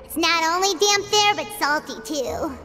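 Tiny game fairy companion, extremely high childlike voice, animated intonation, curious, cheerful, expressive. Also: female voice, measured pace, faint music behind, exaggerated voice. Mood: grumbling. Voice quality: squeaky, childlike. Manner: refers to herself in third person, expressive. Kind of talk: video game voice acting.

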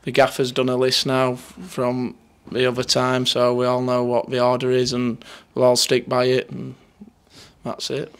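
Young northern english bloke, footballer chatting after a match, really monotone, plain and matter of fact. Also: male voice, mid-range voice, a little slow, clean tone. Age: 20s. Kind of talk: post-match interview, casual. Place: indoors in front of a sponsor board. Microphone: handheld interview microphone held near him.

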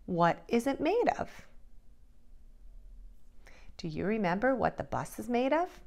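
Middle-aged female preschool teacher, unhurried delivery with big swings in pitch, plain phrases for little kids. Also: sing-song, vocal fry, a little mellow. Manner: simple deliberate phrasing with questions to young children. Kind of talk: children's lesson and read-aloud.

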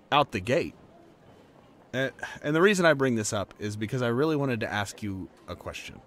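A young man acting a laid-back, playful working-class boyfriend in a conversational roleplay audio drama, informal and earnest. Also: mid-range voice. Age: young adult.

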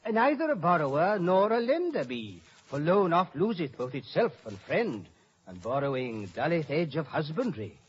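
A man's voice: fairly high pitch, sing-song, normal speed, slightly rough, heavily acted. An actor playing a pompous, fussy old father and counsellor, lecturing emphatically in radio Shakespeare style. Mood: fussy, sententious. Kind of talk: 1950s radio Shakespeare acting. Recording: muffled, noisy old radio broadcast.

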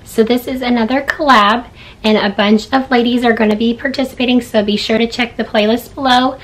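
Hoarse woman in casual chat. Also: on the high side, fairly even intonation, brisk, rough recording. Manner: chatty, upbeat, showing items. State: hoarse, losing her voice. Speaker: vlogger. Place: wooden log home bedroom.

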